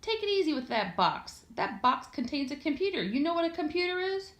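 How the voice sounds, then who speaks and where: A woman's voice: high-pitched, big pitch swings, a little fast, fairly bright tone, voice pushed up. A woman voicing a bossy, brainy little boy in a storybook, with an imperious, taunting, commanding manner. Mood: bossy, scornful. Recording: echoey room.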